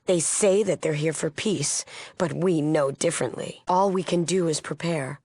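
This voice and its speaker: A principled woman leading a peace movement in a post-apocalyptic game. Her voice is lowish with a gravelly creak, recorded very dry. She sounds earnest, formal and firm. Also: normal speed, darkish tone, dry recording. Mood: earnest, resolute. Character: earnest, resolute female leader of wasteland peace movement. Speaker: game character. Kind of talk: scripted video game dialogue.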